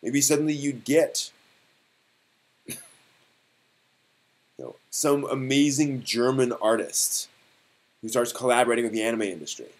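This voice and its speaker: A man talks as the host of a casual live stream, with a middling pitch. He reasons out loud in a halting, conversational way. He often frames his points as rhetorical questions.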